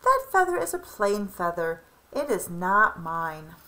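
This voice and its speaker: Woman as a vain, bright-voiced peacock. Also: medium pitch, big pitch swings, unhurried, poor audio. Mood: haughty. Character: vain, lordly male peacock.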